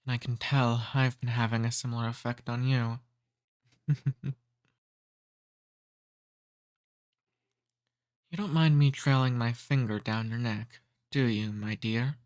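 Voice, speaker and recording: Casting: male actor for an ancient noble vampire, mid-pitched male voice, charming and softly dominant, intimate ASMR roleplay. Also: steady tone, natural voice. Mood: enraptured, flirtatious. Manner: courtly, slow seductive teasing.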